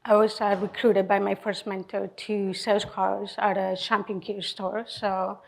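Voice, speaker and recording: A woman's voice: mid-range voice, measured pace, vocal fry, low-quality recording. Woman with a Colombian Spanish accent. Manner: plain, steady, with frequent fillers. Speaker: panelist, general manager. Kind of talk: panel talk. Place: event venue. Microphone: handheld microphone.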